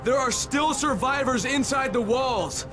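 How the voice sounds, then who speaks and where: An actor playing a defiant, sardonic stranded operative in a video game, confronting the people who betrayed him. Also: male voice, very high voice, a little slow, music in the background. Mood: urgent, grave. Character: defiant stranded male operative turning on his corrupt employers.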